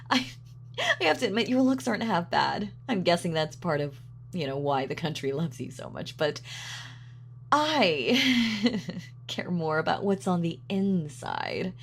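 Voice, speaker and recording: A woman's voice: lowish voice, slightly rough, full character voice. A woman playing a sadistic, gloating female supervillain in a scripted roleplay audio, taunting and savoring her words theatrically. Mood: mocking amusement. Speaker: voice actor.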